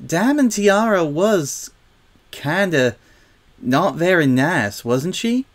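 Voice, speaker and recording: A man voicing a young, prim pony girl who is defensive and vulnerable, speaking haltingly in a storybook. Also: a little high, very animated, a bit bright, pitched above the performer's own voice. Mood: tearful, hurting. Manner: hesitant, halting. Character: haughty young filly turned sad, defensive, vulnerable.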